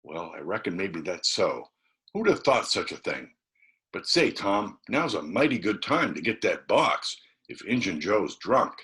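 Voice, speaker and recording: A man's voice: low-pitched, sing-song, measured pace, bright, forceful tone, voice pushed up, heavily acted. A man voicing an anxious, curious rustic boy sidekick in a storybook, with wide-eyed folksy questioning. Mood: wondering, wary. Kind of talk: dramatised storybook reading.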